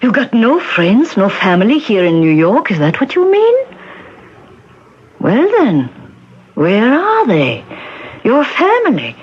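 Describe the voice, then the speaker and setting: An actress playing a kindly, bossy, fretful middle-aged Czech shopkeeper with emphatic, insistent delivery in a 1970s radio drama. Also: female voice, on the high side, wildly swooping pitch, slow pace, pauses often, husky, exaggerated voice. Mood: agitated, pressing. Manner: emphatic, insistent questioning in foreign phrasing. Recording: dull vintage radio broadcast recording.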